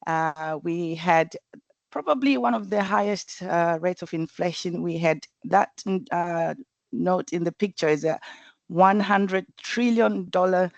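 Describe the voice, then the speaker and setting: A woman from Zimbabwe, introducing herself as a governor in a reflective, halting way. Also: lowish voice, creaky, dry recording. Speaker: public governor. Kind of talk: personal introduction at a meeting. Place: remote video call. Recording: dull, lo-fi video call audio.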